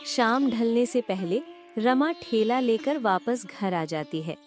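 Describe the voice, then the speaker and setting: A woman narrating a cartoon moral story in a measured storytelling manner. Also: on the high side, expressive, a little mellow, faint music behind.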